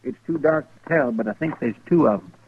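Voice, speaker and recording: A man's voice: medium pitch, brisk, natural voice. A man playing an eager, loyal deputy sidekick in a radio western, folksy and deferential. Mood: hushed, eager. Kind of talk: 1950s radio western acting. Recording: tinny, noisy old radio recording.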